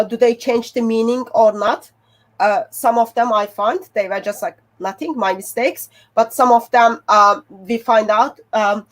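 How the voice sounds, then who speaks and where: Higher-pitched woman with a Turkish accent. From Turkey. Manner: halting, non-native English phrasing. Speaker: guest researcher. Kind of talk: informal explanation in a discussion.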